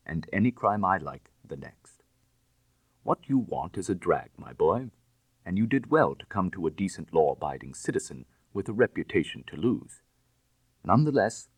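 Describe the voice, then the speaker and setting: A man plays a deep-voiced gentleman thief from a classic crime story, suave and cynical. His delivery is breezy and commanding, swinging widely in pitch as he teases in old-fashioned upper-class slang. The sound is close and very dry. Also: husky, no room sound, exaggerated voice. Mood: teasing, breezy. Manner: jaunty, teasing, Edwardian gentlemanly slang. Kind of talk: dramatised audiobook reading of classic fiction.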